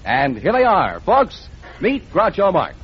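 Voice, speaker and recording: Male radio quiz announcer, mid-century style, high-pitched and very lively, on a muffled old broadcast. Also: a little slow, breathy edge. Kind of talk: 1940s-50s radio announcing. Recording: muffled vintage radio recording.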